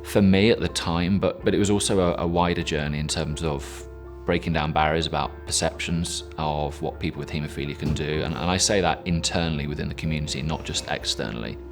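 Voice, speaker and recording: Very deep-voiced English man. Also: fairly even intonation, a little fast, hardly any pauses. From England. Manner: earnest, reflective. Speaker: climber with haemophilia. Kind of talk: documentary interview. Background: music.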